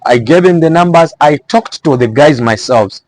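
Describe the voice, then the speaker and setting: A man's voice: fairly high pitch, expressive, moderate pace, darkish tone, no echo, poor audio. A man with a Zambian accent giving small business advice in an informal explainer style, repeating phrases for emphasis.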